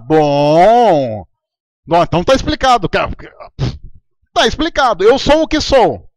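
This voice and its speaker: A Brazilian man preaching a sermon in a relaxed, conversational way, telling stories from his church. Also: very high-pitched, no echo. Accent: Brazilian Portuguese. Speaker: pastor.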